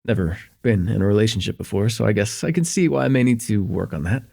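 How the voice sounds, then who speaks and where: A man playing a shy, smitten young student in an intimate ASMR roleplay, with an earnest, hesitant delivery and a husky, slightly gravelly voice. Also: fairly low pitch, fast. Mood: bashful confession. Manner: hesitant, earnest, stammering, chuckling softly between phrases.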